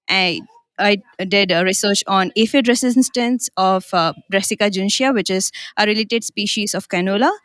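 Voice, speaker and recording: A woman's voice: medium pitch, a bit bright, dry recording. A young woman with an Indian accent, answering earnestly as an interviewee in a short interview. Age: young adult. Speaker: interviewee, graduate student. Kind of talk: conference mini interview.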